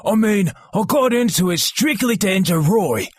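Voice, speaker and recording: A man's voice: high voice, expressive, moderate pace, no room sound. A man voicing a smug, mischievous little halfling with a cruel streak in a cartoon fandub. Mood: mock-solemn. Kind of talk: animated comic fandub acting.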